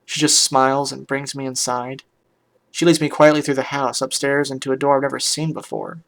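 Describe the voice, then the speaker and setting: Guy doing a heartbroken pony musician telling their story, crackly voice, halting, emotional and kinda hushed. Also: medium pitch, lively intonation, creaky. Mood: weary, subdued. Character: heartbroken pony musician narrating, bitter, weary, tender. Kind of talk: dramatic first-person fan fiction reading.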